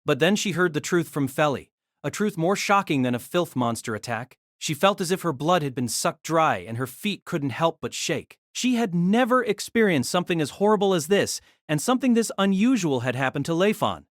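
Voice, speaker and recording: Female narrator for novel audio drama. Lively, varied intonation, dramatic storytelling, dry close sound. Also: sits low, moderate pace, hardly any pauses, no echo.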